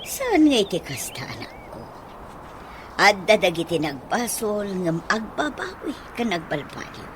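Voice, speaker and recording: A woman's voice: mid-range voice, very animated, measured pace. A woman acting an earnest mother in an Ilocano radio drama, with an Ilocano accent. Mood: gentle, solemn. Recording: noisy radio drama recording, ambient sound effects.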